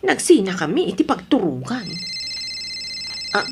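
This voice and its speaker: A woman's voice: medium pitch, sing-song, unhurried, background noise. A woman with an Ilocano accent acting a stubborn, pleading married woman in love with another man in a radio drama. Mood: confiding.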